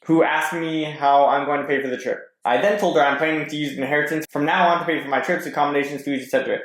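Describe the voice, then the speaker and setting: A man's voice: fairly high pitch, steady tone, quick pace, a bit bright. A man guesting on a podcast, reading posts aloud and chatting casually with some hesitant stumbles. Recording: roomy echo.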